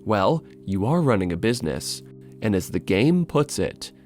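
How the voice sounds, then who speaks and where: A man's voice: fairly low pitch, very animated, moderate pace, a little mellow, soft background music. A man narrating a scripted video essay as its host, in a wry, sardonic, casually sweary way.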